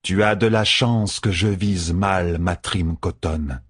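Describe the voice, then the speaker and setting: Audiobook fantasy guard, a hard young woman, read by a man: husky, drawn-out, dry and scornful. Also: sits low, no room sound, heavily acted. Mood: cold, guarded. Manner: slow, deliberate, dry deadpan. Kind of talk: audiobook fantasy character dialogue.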